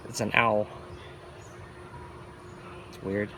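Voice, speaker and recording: A man's voice: fairly low pitch, flat delivery, drawn-out words, pushed and bright, a little hiss or hum. A man giving casual, unscripted hands-on commentary as a hobbyist, offhand and often muttering to himself while he handles equipment. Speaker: hobbyist arcade machine video maker.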